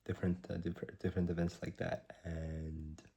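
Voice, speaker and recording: A man in his twenties, a startup founder, recording a rambling video diary at a hushed volume while slightly sick with a cough. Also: low voice, level tone, vocal fry, husky. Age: 20s. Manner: rambling, halting, self-correcting; keeps his voice down.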